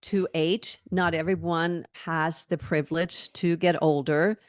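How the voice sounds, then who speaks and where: An older woman in her sixties speaks in a lower voice over a video call, close and dry with no room around her. She answers in an earnest, reflective way and laughs easily between thoughts. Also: steady tone, unhurried, no echo. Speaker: fitness trainer and guest. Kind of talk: conversational interview answers.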